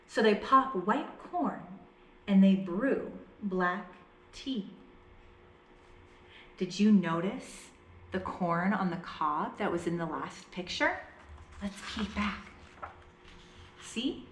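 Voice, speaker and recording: A woman reading a picture book aloud to young children, with deliberate, dramatized phrasing and drawn-out words. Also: medium pitch, expressive, fairly bright tone, a little hiss or hum. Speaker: children's storyteller. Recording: echoey room.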